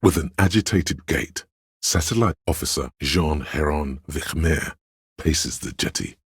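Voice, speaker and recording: A man voicing a brooding inner voice that narrates a police drama in a game, with a very deep, gravelly, husky delivery, measured and solemn. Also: no room sound, studio quality, full character voice. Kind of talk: literary scripted narration.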